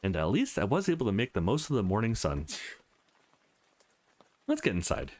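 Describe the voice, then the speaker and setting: A man's voice: lowish voice, wildly swooping pitch, normal speed, darkish tone. A man hosting a visual novel playthrough, reading the story aloud and adding casual, chatty commentary, with music behind him. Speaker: gaming channel host.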